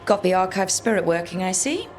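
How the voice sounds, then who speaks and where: A woman's voice: lowish voice, lively intonation, a little fast, slight background noise, natural voice. An actress playing an easygoing, wry woman who leads adventurers in a video game, talking casually and dryly. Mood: wry, probing.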